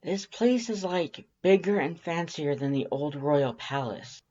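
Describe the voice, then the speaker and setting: A reader voicing a loud, brash, excitable woman from a video game in fan fiction, yelling bluntly, on a slightly muffled recording. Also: medium pitch, a little slow, voice pushed up. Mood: awestruck.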